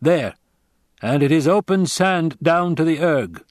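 A man reading an audiobook, voicing a blunt, cryptic male desert tribesman who speaks slowly and asks direct questions. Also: on the high side, lively intonation, dry recording, clean studio sound, pitched above the performer's own voice. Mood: stern, blunt. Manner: slow, measured, direct questioning. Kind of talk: audiobook character voice.

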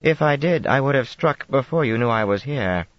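A man's voice: mid-range voice, animated, no room sound, lo-fi sound, voice pushed up, lightly acted. A man doing a composed, formal sorceress. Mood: measured. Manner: formal, measured. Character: composed, dignified sorceress, middle-aged woman.

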